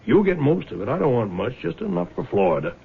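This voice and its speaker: A resigned, wry father of mature years in a vintage radio drama. His voice is creaky and rough, with wide swings in pitch, on a dull old broadcast recording. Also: sing-song, fast talker, heavily acted. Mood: confiding, rationalizing. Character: wry older father, honest man rationalizing a windfall.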